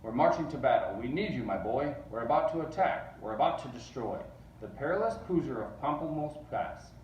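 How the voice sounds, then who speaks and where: A man's voice: mid-range voice, expressive, normal speed, darkish tone, a little hiss or hum, natural voice. Man playing a pompous bellowing storybook army general. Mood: commanding, urgent. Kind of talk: children's storybook read-aloud. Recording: roomy, distant, dull sound.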